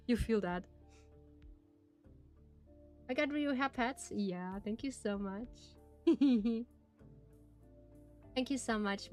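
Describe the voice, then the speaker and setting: A woman's voice: mid-range voice, darkish tone. A woman with a German accent, a native German speaker, streaming and reading a game aloud in careful phrasing with frequent laughs.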